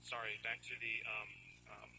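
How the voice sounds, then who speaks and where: Sharp, monotone American man on phone. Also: on the low side, moderate pace. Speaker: brother questioning an inmate by phone. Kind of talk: probing phone questioning. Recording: tinny phone line with hum.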